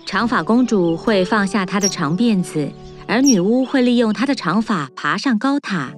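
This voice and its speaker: A woman's voice: mid-range voice, lively intonation, moderate pace. A woman reading scripted fairy-tale narration for children as a storyteller. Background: music.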